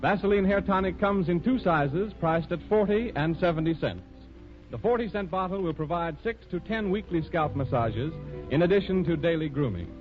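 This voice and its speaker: High-voiced 1930s radio announcer, a man, muffled. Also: normal speed, faint music behind.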